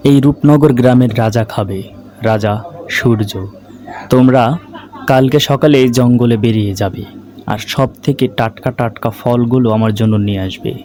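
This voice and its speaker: A man's voice: mid-range voice, fairly even intonation, moderate pace, dark tone, a little hiss or hum, close to an everyday voice. A man voicing an imperious, pompous village king in a cartoon, giving commands. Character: imperious village king, testing his subjects. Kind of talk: acted cartoon dialogue.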